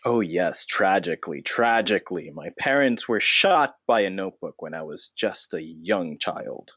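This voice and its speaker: A man's voice: sits low, animated, normal speed, a bit bright, exaggerated voice. A man playing a brooding, self-serious vigilante notebook dressed as a bat in an improvised mock interview, speaking solemnly and hesitantly and repeating himself. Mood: solemn, haunted. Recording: dull, roomy, echoing sound.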